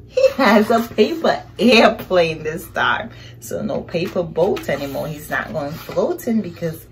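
Middle aged lady doing a kids read aloud, really swoopy expressive voice, bit of background noise. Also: medium pitch. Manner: expressive, animated, exclaiming and asking questions. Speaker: read-aloud storyteller for young children. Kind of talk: children's picture book read-aloud, improvised narration with questions. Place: small indoor living room, seated on a couch.

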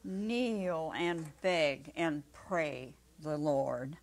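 Elderly female reader, lowish voice, very unhurried, acting out storybook dialogue. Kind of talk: dramatic reading, in character as an old friend. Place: small indoor set.

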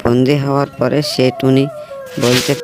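A man's voice: medium pitch, little pitch movement, moderate pace. A man narrating a scripted children's fable as the storyteller. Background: background music.

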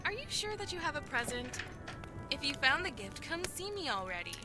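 Teasing video game girlfriend, high voice, very lively pitch, playful, coaxing, impatient. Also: brisk. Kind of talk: scripted video game acting. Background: loud game music.

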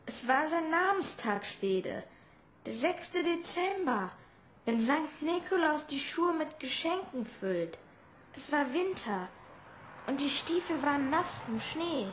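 A woman's voice: sits high, sing-song, takes it slowly, fairly bright tone, slight background noise, heavily acted. A woman playing a small, dreamy and stubbornly hopeful orphan boy in a radio drama, earnest and insistent.

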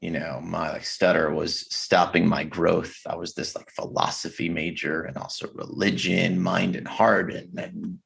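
A man speaking candidly as a podcast guest over a video call, reflective and halting, with many fillers and restarts. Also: low-pitched, little pitch movement, gravelly, a bit bright, breathy edge. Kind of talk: candid conversation.

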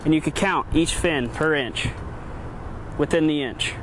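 A man's voice: on the high side, measured pace. A young man giving a practical, informal how-to demonstration on a rooftop as he works with his hands, recorded on a lapel mic. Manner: practical, informal, talks while working with hands. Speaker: HVAC coil technician. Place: outdoor rooftop by an AC unit. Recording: loud wind and traffic noise.

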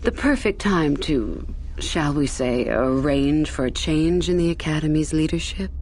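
A woman playing a scheming, ambitious Sith teacher in a video game, with a measured, insinuating, formal manner. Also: deep voice, expressive, darkish tone, a little hiss or hum. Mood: sly conspiratorial. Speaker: academy teacher. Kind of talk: scripted video game acting.

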